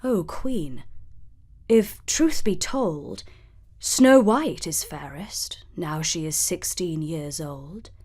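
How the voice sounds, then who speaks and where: A woman's voice: deep voice, expressive, a little mellow, slightly rough, voice pushed down, full character voice. Woman voicing a solemn, stately magic mirror. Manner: stately, archaic, measured. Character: magic mirror, solemn truth-telling spirit speaking in rhyme.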